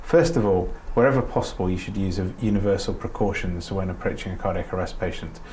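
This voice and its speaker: Low-voiced man teaching a practical skill. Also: steady tone, fast, a little mellow, slight background noise. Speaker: doctor giving a training demonstration. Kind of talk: spoken practical instruction.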